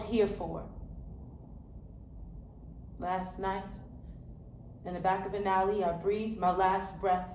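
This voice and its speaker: A woman acting a dramatic stage monologue as a weary, confessional angel who was once a poor woman, speaking slowly and with deep feeling. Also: natural voice. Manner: slow, heartfelt, deliberate delivery. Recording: roomy echo, faint background noise.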